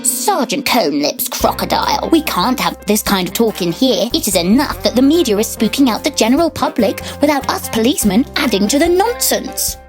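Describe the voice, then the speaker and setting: An exasperated elephant inspector in a kids' cartoon. The voice is high and commanding, set over clear background music. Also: female voice, very animated, brisk, few pauses. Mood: stern, exasperated. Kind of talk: children's cartoon voice acting.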